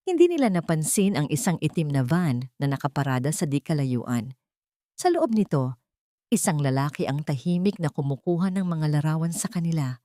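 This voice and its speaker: Low-pitched woman narrating drama, dry sound. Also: darkish tone, dry recording. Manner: solemn, melodramatic storytelling. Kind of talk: scripted dramatic story narration.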